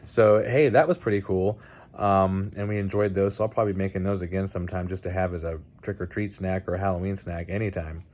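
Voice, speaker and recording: A man hosting a casual movie review vlog, speaking bluntly in a halting, conversational way. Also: low voice, fairly even intonation, a little mellow. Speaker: host of a movie night video channel.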